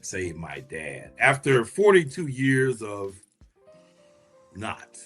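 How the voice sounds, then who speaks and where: Husky, low-voiced man hosting an interview. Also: wildly swooping pitch, slow, fairly bright tone, faint music behind. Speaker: podcast host, interviewer. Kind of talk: conversational interview.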